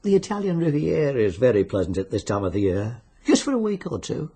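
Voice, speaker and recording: Genial but sinister British fixer, low husky voice, swooping intonation, insinuating radio drama delivery. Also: male voice, wildly swooping pitch, brisk, mellow, exaggerated voice. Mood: coolly businesslike. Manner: insinuating, euphemistic, coolly businesslike. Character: sly, genial bookmaker-like fixer arranging deaths by wager.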